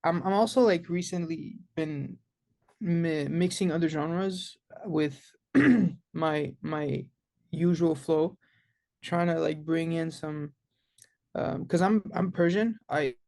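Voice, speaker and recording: A young man from Canada talks as a guest musician, his slightly raised voice carrying a gravelly edge. He speaks haltingly, often stopping to search for the right words. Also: a little high, a little mellow. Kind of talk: casual interview chat.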